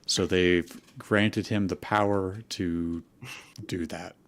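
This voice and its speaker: A man with a slightly low voice talks casually as a co-host. He goes slowly and haltingly, searching for words as he improvises. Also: fairly even intonation. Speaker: co-host of a fanfiction reading. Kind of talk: casual chat, improvised recap.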